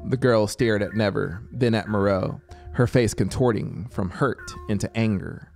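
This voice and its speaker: A man's voice: lowish voice, moderate pace, gravelly. A man in his thirties narrating fiction in a theatrical, suspenseful manner, close to a studio microphone with music behind him. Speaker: audiobook narrator. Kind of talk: dramatic scripted narration over a soundscape. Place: small home music studio. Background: music soundscape.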